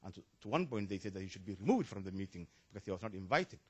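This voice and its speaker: A man from Somalia making a spontaneous comment from the floor of a panel, halting and restarting, his emphasis rising as he goes, in a conference hall. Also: sits low, very animated, a little mellow, slightly rough, muffled recording. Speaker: audience member asking a question.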